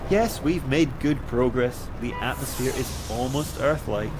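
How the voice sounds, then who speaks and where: Over clear background noise, an actor plays one of several identical colonist men in a science fiction audio drama. He speaks slowly, at a middling male pitch. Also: close to an everyday voice. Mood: subdued, conversational. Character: identical duplicated colonist men, bewildered or calmly explaining.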